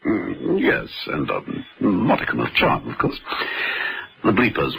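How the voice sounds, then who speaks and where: An actor playing a suave, unflappable gentleman secret agent in a radio drama, speaking with dry, urbane nonchalance. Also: low voice, huge pitch swings, unhurried, gravelly, slightly rough, exaggerated voice. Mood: dryly amused. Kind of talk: 1970s radio drama acting. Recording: old noisy radio drama recording.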